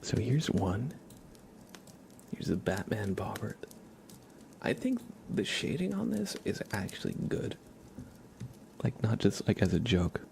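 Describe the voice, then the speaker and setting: A man hosting an ASMR livestream, chatting casually and haltingly in a soft, hushed voice that drifts into whispering. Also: lowish voice, vocal fry. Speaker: livestream host. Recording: steady background noise with keyboard typing.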